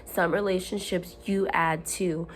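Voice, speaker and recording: Hoarse woman giving candid self-help talk. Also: fairly low pitch, steady tone, measured pace, slight background noise. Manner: earnest, rambling, with frequent ums and restarts. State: hoarse, recovering from lost voice. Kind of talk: candid motivational talk to camera. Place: at home.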